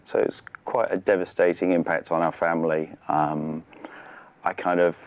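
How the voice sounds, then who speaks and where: A man's voice: low-pitched, level tone, normal speed, muffled recording. A middle-aged man, speaking conversationally and earnestly as a studio interview guest. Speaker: interview guest, charity sailor. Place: television studio.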